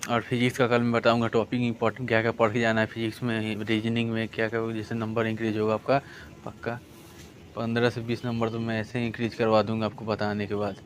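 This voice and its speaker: Male coaching teacher, mid-pitched monotone, colloquial and repetitive explanations, some noise behind the voice. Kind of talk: informal exam paper review lesson. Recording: clear background noise.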